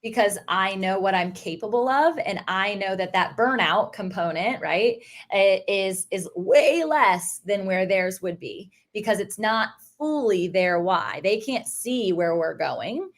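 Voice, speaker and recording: A woman's voice: medium pitch, lively intonation, unhurried, few pauses. A woman hosting a podcast, affirming her guest and speaking reflectively from her own experience as an entrepreneur. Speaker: podcast host. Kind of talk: conversational interview.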